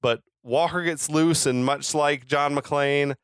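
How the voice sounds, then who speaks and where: Smooth-voiced man swearing through casual film chat. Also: a little high, steady tone, slow, fairly bright tone, no room sound, studio quality. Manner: profane, slangy storytelling. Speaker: podcast co-host.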